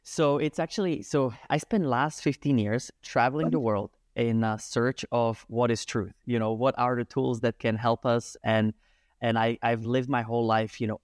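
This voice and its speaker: A man, a guest telling his life story in animated talk full of hesitations. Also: medium pitch, dry recording, clean studio sound. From Czech Republic. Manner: animated, hesitant with many fillers. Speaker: guest, breathwork and movement teacher. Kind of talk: casual storytelling interview.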